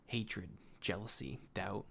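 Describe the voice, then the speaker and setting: A man voicing a brooding young wanderer who narrates his inner darkness in a solemn, measured, introspective way. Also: on the low side, pushed and bright, heavily acted.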